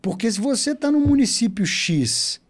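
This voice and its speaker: A man in his thirties with a Minas Gerais accent, a podcast guest telling his story in an animated, talkative way. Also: extremely high pitch, moderate pace. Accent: Minas Gerais Portuguese. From Brazil. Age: 30s. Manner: chatty, animated storyteller who loves to talk. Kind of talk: casual storytelling interview.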